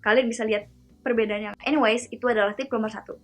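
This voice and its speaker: A woman from Indonesia hosting a casual vlog-style lesson, chatty and slowing down to demonstrate words one at a time. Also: on the high side, fairly even intonation, faint music behind. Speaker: video host teaching pronunciation.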